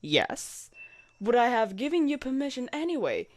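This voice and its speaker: A woman's voice: fairly high pitch, sing-song, moderate pace, darkish tone, no room sound. A woman playing a loyal young knight commander in a roleplay audio drama, formal and courteous but easily flustered. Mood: fond, gentle.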